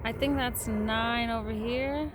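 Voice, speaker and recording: Woman, high voice, patient sing-song read-aloud for a young child, unhurried, faint background noise. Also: steady tone. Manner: sing-song, patient, pauses to count and point. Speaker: parent reading aloud to a child. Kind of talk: picture book read-aloud to a small child.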